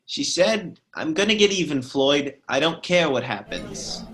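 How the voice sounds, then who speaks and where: A man's voice: on the high side, lively intonation, unhurried, crowd noise. A man playing an earnest, folksy neighbor testifying in a radio play, plain-spoken and deferential. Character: earnest, folksy married male neighbor as witness. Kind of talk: radio play courtroom acting.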